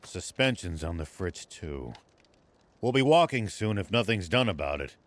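A man playing a steady, gruff old war veteran in a video game. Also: low-pitched, moderate pace. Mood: grave, reflective. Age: older.

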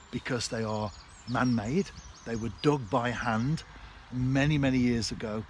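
A man in his fifties giving a casual instructional fishing vlog in a measured, explanatory way, in short phrases. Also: medium pitch. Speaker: angler and vlogger. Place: outdoors on a canal bank. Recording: clear outdoor background noise.

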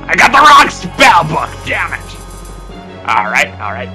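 A man's voice: fairly high pitch, wildly swooping pitch, a little slow, sharp tone, soft background music, full character voice. A man voicing a moody old ape sorcerer in an amateur cartoon parody, rambling theatrically and bossing others around. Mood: grumbling, dramatic. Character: cranky, moody old male ape who dabbles in magic.

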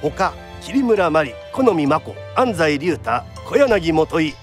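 Man, kodan-style narrator, theatrical, highish voice, lively intonation, background music. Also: takes it slowly. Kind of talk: traditional kodan storytelling narration for radio drama.

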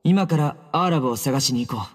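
A man playing a stern, calm young investigator in a game, speaking in a measured, commanding way. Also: mid-range voice, lightly acted. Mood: calm, authoritative. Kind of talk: Japanese anime game voice acting.

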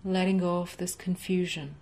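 A young woman coaching a guided tapping session from her home office, speaking in slow, soothing, rhythmic repeated phrases for the listener to echo. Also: fairly low pitch, fairly even intonation, creaky, a little mellow. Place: home office with framed certificates, indoors.

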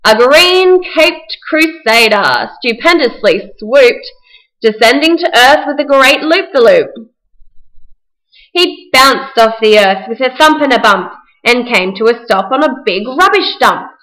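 Rather high-voiced woman narrating a storybook. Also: expressive, unhurried, a bit bright, poor audio. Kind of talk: scripted rhyming storybook narration for puppet show.

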